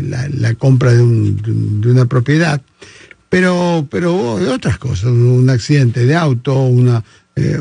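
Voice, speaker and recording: A man's voice: medium pitch, gravelly, warm tone, husky, dry recording. A man hosting a casual interview in Spanish, talking with many hesitations and fillers. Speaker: podcast host. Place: studio with phone on table.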